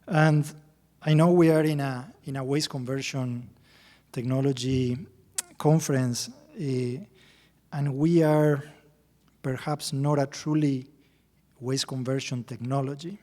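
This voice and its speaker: A middle-aged native Spanish speaker from Argentina, a man with an Argentinian accent, presenting at a conference in halting, careful phrases. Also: mid-range voice, steady tone, dark tone. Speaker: company executive presenting at a conference. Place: large conference hall at a podium. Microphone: podium microphone. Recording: reverberant conference hall sound.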